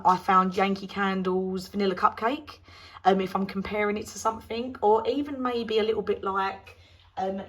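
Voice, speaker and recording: A woman from the UK with a British accent, chatting casually through a product review, her voice slightly croaky after laryngitis. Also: medium pitch. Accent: British English. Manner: chatty, rambling with many ums. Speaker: candle reviewer.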